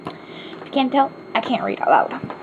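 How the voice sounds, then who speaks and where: A woman's voice: high-pitched, huge pitch swings, unhurried. A woman with an Arkansas accent, chatting casually and rambling in a self-deprecating way in a home vlog. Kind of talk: casual vlog answering questions. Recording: slightly dull home recording with faint hiss.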